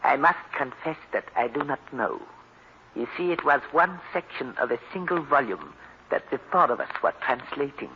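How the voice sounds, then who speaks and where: An actor playing a courtly, guarded scholar in an old radio drama, with ornate, precise and formal speech. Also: male voice, mid-range voice, expressive, moderate pace, close to an everyday voice. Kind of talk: 1940s radio acting. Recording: hissy, dull old radio recording.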